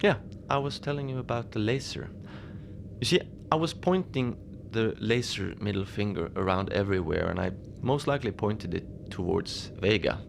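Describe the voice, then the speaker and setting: A man playing a mild, unbothered middle-aged station manager in a cozy audio drama, confiding in a halting, rambling, understated way. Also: on the low side, lively intonation, vocal fry, noisy background. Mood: musing, mild. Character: mild, unbothered lone Antarctic night-shift station manager. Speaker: podcast fiction narrator, lone facility manager on radio.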